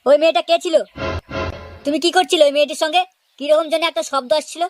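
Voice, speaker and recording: A brash young cartoon man acted out by a voice performer, pitched very high and talking fast. He is hot-headed and confrontational, forever complaining, over a music bed. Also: steady tone, no room sound, close to an everyday voice. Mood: nosy, impatient. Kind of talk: comedy cartoon dialogue in colloquial Bengali. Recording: music behind the voice.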